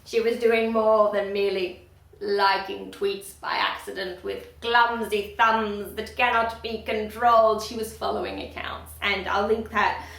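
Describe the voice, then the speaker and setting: A woman giving outspoken, emphatic commentary in a video blog, talking loudly and firmly about what she stands for. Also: mid-range voice, fairly even intonation, measured pace, gravelly, roomy sound, rough recording. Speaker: video creator. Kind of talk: opinionated commentary vlog.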